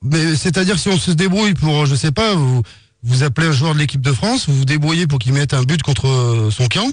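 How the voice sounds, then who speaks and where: A man's voice: a little high, brisk, no echo, studio quality, lightly acted. A man playing a polite, insistent marketing assistant for a betting company on a prank phone call, coaxing with hesitant sales talk. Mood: insistent, conspiratorial. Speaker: prank caller.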